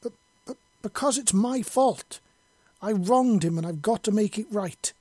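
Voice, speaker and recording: A man voicing a panicked, guilt-ridden female alien huntress, pleading and yelling in desperation. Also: on the high side, level tone, normal speed, husky, no room sound. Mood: desperate, panicked.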